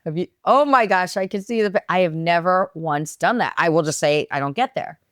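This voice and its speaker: A woman with a smooth, resonant voice pitched on the low side talks candidly and teasingly, with a slight hoarseness in her throat. The sound is dry and close. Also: normal speed, no room sound. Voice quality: smooth, resonant radio-style voice. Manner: animated, direct, teasing. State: slightly hoarse from a lingering tickle. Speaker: podcast co-host. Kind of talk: casual, candid chat. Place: in bed at home.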